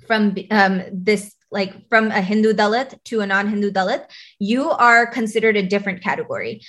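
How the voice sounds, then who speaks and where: A woman with an American English accent, explaining things in a relaxed conversational way, often restarting her sentences. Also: medium pitch. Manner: conversational, frequent fillers and restarts while explaining. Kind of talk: explanatory casual chat.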